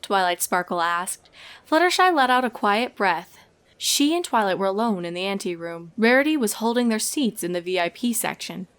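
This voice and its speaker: A woman reading scripted story narration in a measured, expressive storytelling manner. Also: medium pitch, smooth. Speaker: fan fiction reader and narrator.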